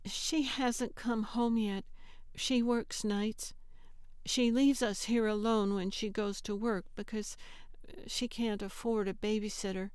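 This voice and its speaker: A woman's voice: mid-range voice, steady tone, takes it slowly, a little mellow, clear, resonant tone, dry recording, pitched above the performer's own voice, natural voice. A woman voicing an earnest, guarded eight-year-old girl in an audiobook, speaking a little hesitantly. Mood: guarded, explaining. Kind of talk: audiobook character acting.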